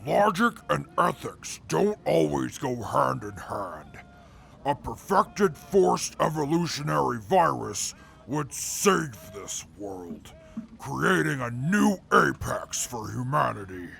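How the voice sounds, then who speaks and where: A man playing a stiff, logical intellectual who speaks formally and deadpan in a post-apocalyptic audio drama. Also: mid-range voice, slow pace, gravelly, heavily acted. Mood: coolly reasoning. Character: Atlas, stiff logical field interviewer, amoral intellectual.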